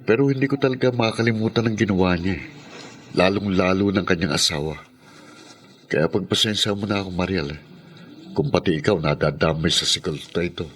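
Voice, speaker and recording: Low, husky, earnest son. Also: male voice, brisk. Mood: resentful, apologetic. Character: earnest, aggrieved son and fiancé. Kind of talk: radio drama acting.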